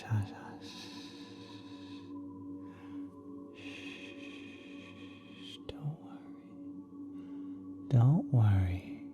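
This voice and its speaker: A man voicing a kind, doting celestial being in a soft, breathy, often whispered ASMR roleplay, tender and halting. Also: fairly low pitch, full character voice. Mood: soothing. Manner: tender, halting, gently coddling. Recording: cosmic ambient sound effects behind.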